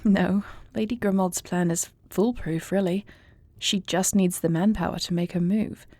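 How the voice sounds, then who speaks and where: A woman playing a thoughtful, devoted warrior princess in an intimate fantasy audio roleplay, speaking in formal, measured, eloquent phrases. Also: fairly low pitch, steady tone, mellow, no echo, close to an everyday voice. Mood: earnest, confiding.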